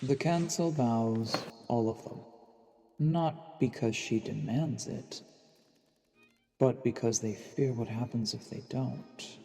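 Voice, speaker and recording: A man playing a watchful, brooding assassin posing as a noble, narrating deliberately with dramatic pauses in a fantasy audio drama. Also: medium pitch, vocal fry, warm tone. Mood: tense, awed. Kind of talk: dramatic first-person scripted narration. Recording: long reverb, music underneath.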